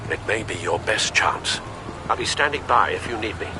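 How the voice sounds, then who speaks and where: A man playing a dutiful, composed butler and confidant in a video game, measured and formal. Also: animated, close to an everyday voice. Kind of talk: dramatic video game acting. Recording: clear background rumble and ambience.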